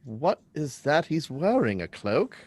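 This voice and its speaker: A man's voice: on the high side, sing-song, unhurried, husky, full character voice. A man playing a curious, persistent but courteous schoolboy in a live radio drama reading, earnest and questioning. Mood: insistent.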